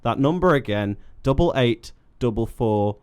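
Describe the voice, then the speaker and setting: A man's voice: medium pitch, lively intonation, a little slow, clean tone. A young man hosting a live local radio show, chatty and self-deprecating, laughing easily with his guest. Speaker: radio presenter. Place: radio studio. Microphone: studio microphone.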